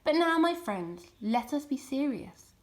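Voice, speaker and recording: A woman's voice: sits high, big pitch swings, a little slow, pitched above the performer's own voice. Woman voicing a confident, rousing storybook fox leader. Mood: serious, rousing. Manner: rousing, declamatory speech-making. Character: confident, jovial male fox leader addressing his friends. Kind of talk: children's storybook character reading.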